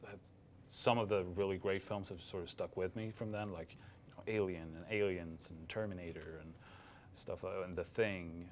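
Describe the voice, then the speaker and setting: A man's voice: deep voice, a little mellow, a little hiss or hum. A man from Sweden with a light Swedish accent, giving hesitant interview answers full of fillers and restarts. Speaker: film director.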